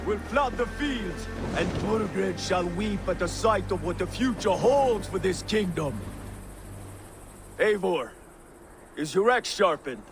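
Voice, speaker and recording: High-pitched, slow, grim Viking commander. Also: male voice, expressive, faint music behind, exaggerated voice. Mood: ominous, rallying. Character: grim, vengeful Viking commander giving war orders. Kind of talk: video game dramatic dialogue.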